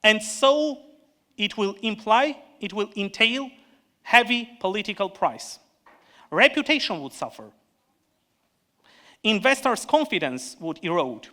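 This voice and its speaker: Bright-voiced man with an Armenian accent. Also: sits high, sing-song. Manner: measured, earnest, with restarts and pauses. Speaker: panel speaker, economist. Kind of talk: prepared conference speech.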